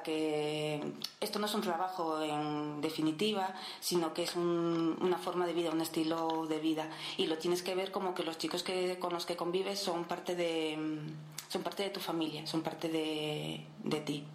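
Soft-spoken Canarian woman in an echoey room. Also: sits low, fairly even intonation, a little hiss or hum. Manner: warm, unhurried, halting with restarts. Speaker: interviewee, caregiver in a children's home. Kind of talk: radio interview, casual answers.

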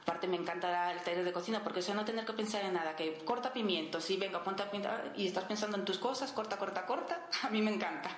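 A woman, a caregiver answering radio interview questions in a warm, halting way with many restarts. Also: mid-range voice. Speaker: interviewee, caregiver in a children's home. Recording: echoey room.

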